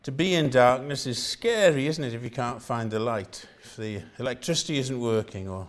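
A man's voice: mid-range voice, moderate pace. An older man from Wales with a Welsh accent, preaching a sermon in an earnest style and repeating phrases for emphasis.

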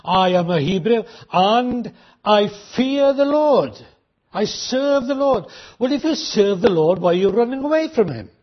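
A man preaching a sermon, emphatic and full of rhetorical questions, slowing right down to drive points home. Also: high-pitched, lively intonation, lo-fi sound.

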